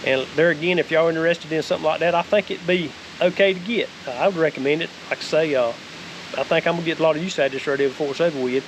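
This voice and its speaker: Fast-talking Southern man, folksy. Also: fairly high pitch, rough recording. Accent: Southern American. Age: middle-aged. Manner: folksy, plain-spoken, repetitive. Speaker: vlogger reviewing a product. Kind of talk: casual product review. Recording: faint hiss and outdoor noise.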